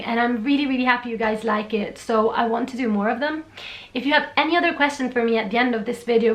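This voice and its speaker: A young woman from Italy with an Italian accent, chatting through a Q&A on her own channel. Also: on the high side. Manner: bright and chatty, reads out questions then answers at length. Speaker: vlogger. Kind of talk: casual Q&A vlog. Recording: echoey room.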